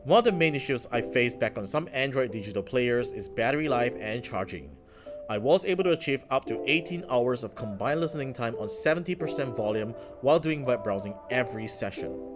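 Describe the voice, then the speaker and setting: A man talks through a gadget's features in an upbeat, casual review style at a mid-range pitch. Clear background music plays under his voice the whole time. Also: lively intonation, fairly quick. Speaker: tech reviewer.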